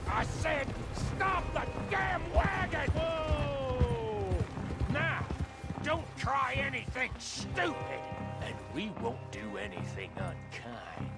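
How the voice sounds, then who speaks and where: An actor playing a gruff, belligerent American outlaw in a video game, blunt and confrontational. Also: male voice, very high-pitched, huge pitch swings, slow, loud music behind the voice, exaggerated voice. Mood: menacing. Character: gruff, belligerent, surly male outlaw gang heavy. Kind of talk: video game cutscene acting.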